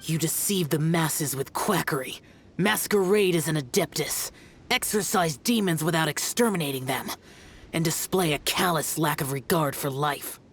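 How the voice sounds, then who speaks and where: A man playing a stern, ancient yet youthful adeptus warrior in a video game, speaking formally and gravely. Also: fairly high pitch, a bit bright, a little hiss or hum. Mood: stern, condemning. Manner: formal, grave, measured instruction and rebuke.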